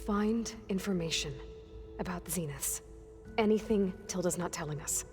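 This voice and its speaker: A woman's voice: on the low side, moderate pace, a little mellow, big-room sound, faint music behind, lightly acted. A woman playing a determined young warrior leader in a game cutscene, earnest and commanding. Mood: serious, urgent. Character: determined young female warrior leading a rescue mission. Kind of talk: video game cutscene acting.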